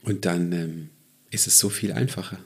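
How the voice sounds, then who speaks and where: A man with a southern German accent talks as a guest on a podcast. His voice is low for a man. He stays relaxed and dry, and he keeps his answers short. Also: moderate pace. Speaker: comedian guest. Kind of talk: casual chat.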